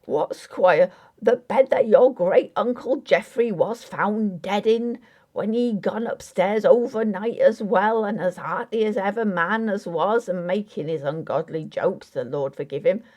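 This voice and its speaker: A woman's voice: mid-range voice, a little slow, a little mellow, close to an everyday voice. A woman voicing a dogged, wry, superstitious old village carpenter with a folksy, rambling way of talking. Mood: shocked, protesting. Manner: folksy, rambling, dialect grammar.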